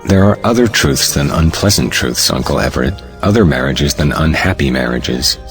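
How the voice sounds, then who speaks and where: An earnest, proud family man acted by a man speaks with solemn devotion in a stage drama. His voice is extremely deep, with music behind it. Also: animated, normal speed, fairly bright tone, exaggerated voice. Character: earnest, proud, devoted husband and father of modest means. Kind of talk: stage play audiobook acting.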